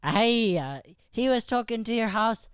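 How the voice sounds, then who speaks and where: A man's voice: extremely high pitch, lively intonation, measured pace, a bit bright, clean tone, no room sound, studio quality, pitched above the performer's own voice, exaggerated voice. A man playing a cheeky Irish schoolboy who teases his pal and dodges blame, in an improvised radio comedy, with an Irish accent. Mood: nervously cheeky. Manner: teasing, wriggling out of blame. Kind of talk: improvised old-time radio comedy play.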